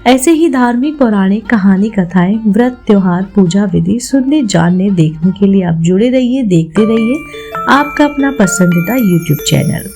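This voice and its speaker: A woman's voice: medium pitch, lively intonation, measured pace, a little mellow, faint music behind. A woman hosting a devotional explainer, telling religious tales and instructing listeners as friends. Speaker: host of a devotional channel. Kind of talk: devotional explainer narration with storytelling.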